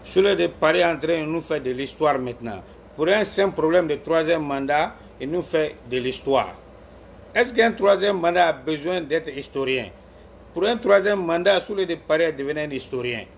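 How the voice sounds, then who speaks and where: A man's voice: fairly high pitch, animated, moderate pace, creaky, a little hiss or hum. A man from Ivory Coast giving heated political commentary on a live stream, arguing with viewers and calling them out by name. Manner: argumentative, scolds viewers by name, repeats himself.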